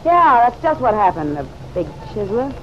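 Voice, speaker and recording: A woman's voice: on the high side, big pitch swings, moderate pace. An actress playing a tough, guarded city woman in an old radio drama, curt, slangy and defensive. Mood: sore, indignant. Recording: dull, noisy old radio recording.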